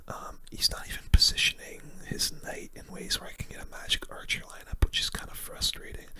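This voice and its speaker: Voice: fairly quick, bright, forceful tone. Whispering ASMR gamer. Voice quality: breathy unvoiced whisper. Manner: casual, mildly grumbling, slangy. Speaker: gaming video host. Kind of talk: whispered ASMR gaming commentary.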